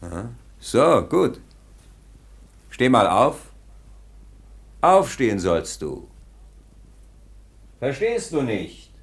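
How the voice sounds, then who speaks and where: A man's voice: medium pitch, big pitch swings, extremely slow, gravelly, pauses often, breathy edge, heavily acted. An actor playing a stern male police commissioner interrogating a strange foundling in a radio play. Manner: brusque, commanding interrogator. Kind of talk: 1950s-style radio play acting.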